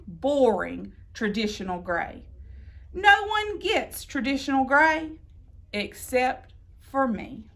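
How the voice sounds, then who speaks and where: A woman reading a children's picture book aloud in an expressive, theatrical way, drawing out words for emphasis. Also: high-pitched. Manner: theatrical, deliberate phrasing with drawn-out emphasis. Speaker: storybook reader.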